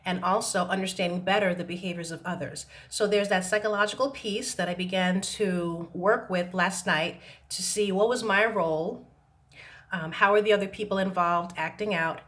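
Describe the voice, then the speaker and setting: A woman talking to camera about self-healing, hesitant with many pauses and restarts, her voice still a little rough from laryngitis. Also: mid-range voice, fairly bright tone. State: recovering from laryngitis, slight roughness. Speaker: self-help vlogger. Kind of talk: reflective talk to camera. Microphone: camera mic.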